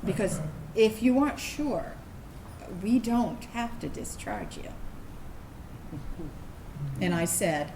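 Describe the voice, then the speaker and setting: A woman's voice: mid-range voice, slow, pauses often. A woman acting a reflective former Navy officer, reading an earnest personal monologue on stage. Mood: earnest, resolute. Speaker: actor reading a veteran's personal narrative. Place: indoor room, staged reading. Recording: echoey room, steady background noise.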